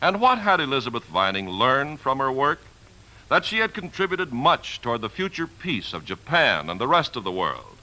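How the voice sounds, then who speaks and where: A male announcer in old-time radio style, reading polished copy formally. His delivery is extremely animated and forceful, at a medium pitch. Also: moderate pace, low-quality recording. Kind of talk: 1950s scripted radio narration.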